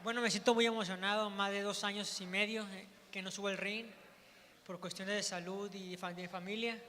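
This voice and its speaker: A man, a boxer, answering quickly and softly at a press conference. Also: high voice, steady tone. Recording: distant, reverberant hall.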